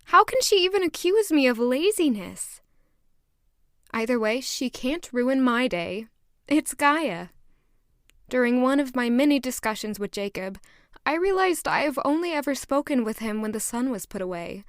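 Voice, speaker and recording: A woman's voice: high voice, lively intonation, moderate pace, dry recording, clean studio sound. An actress narrating as a young female castle maid, in an earnest, introspective first-person way. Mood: reflective. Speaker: narrator and lead character.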